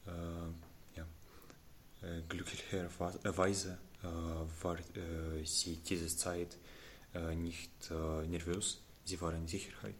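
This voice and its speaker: Low-voiced teenage boy with a Ukrainian accent. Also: flat delivery, slow pace, slight background noise. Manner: careful non-native phrasing. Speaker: interviewee, refugee student. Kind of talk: interview answers.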